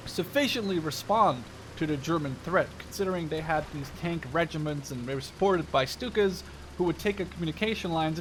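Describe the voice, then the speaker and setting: High-pitched young man doing casual game commentary. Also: fairly bright tone, slight background noise, poor audio. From Netherlands. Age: 20s. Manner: informal, rambling, digressive storytelling. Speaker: gaming video host. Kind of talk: casual gameplay commentary with history asides.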